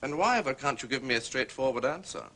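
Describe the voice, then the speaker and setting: Casting: blunt, pressing man, forceful tone, animated intonation, radio drama. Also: mid-range voice, fast. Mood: insistent. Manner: direct, pressing. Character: blunt, insistent man demanding answers.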